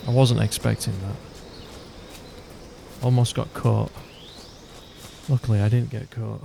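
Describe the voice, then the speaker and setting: A man's voice: lowish voice, little pitch movement, unhurried, mellow. A man giving casual gameplay commentary, loosely thinking aloud as he plays. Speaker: gaming video host. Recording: clear game noise behind voice. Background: game ambience.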